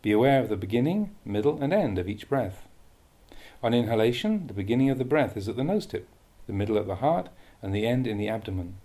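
A man reading a Buddhist meditation teaching aloud with measured, deliberate phrasing. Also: fairly low pitch, animated, dark tone. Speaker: Buddhist monk reading a translated dhamma talk.

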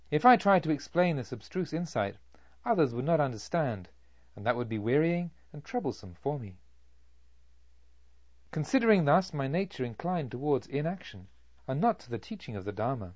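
Man narrating with lively, expressive pitch. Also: no echo, dull audio. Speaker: audiobook narrator. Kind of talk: scripted literary narration of a Buddhist novel.

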